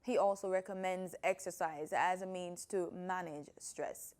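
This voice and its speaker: A woman's voice: fairly low pitch, steady tone, measured pace, a little mellow. A woman presenting scripted news as a news reader.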